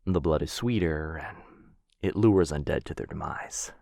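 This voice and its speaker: A man playing an apologetic, protective vampire lord in an intimate boyfriend ASMR roleplay, with a breathy, husky voice that often drops to a whisper and a hesitant, tender delivery. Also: very deep voice, moderate pace, warm tone, no echo, studio quality. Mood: hushed, revelatory. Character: apologetic, protective male vampire lord, soft-spoken and earnest.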